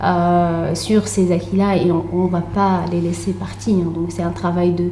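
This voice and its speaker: Young woman, Arabic mother tongue, warm dark tone, stop-start conversational answers, echoey room with faint noise. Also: on the low side, level tone. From Tunisia. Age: young adult. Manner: hesitant, halting delivery with repeated words. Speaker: interview guest, author and translator. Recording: roomy echo, faint background noise.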